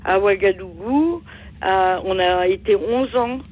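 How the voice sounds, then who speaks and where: A muffled call on the radio from an older woman with a mid-pitched voice. She reminisces casually and haltingly, wandering from one detail to the next. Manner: digressive, halting. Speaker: radio phone-in caller.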